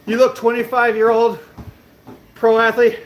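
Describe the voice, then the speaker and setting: Cocky guy in his late 30s, higher voice, a little winded from cardio, echoey gym with faint hum. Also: completely flat delivery, a little slow. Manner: boastful and brash, swears casually. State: out of breath from treadmill cardio. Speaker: fitness livestreamer. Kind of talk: casual livestream chat reading comments. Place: gym on a treadmill.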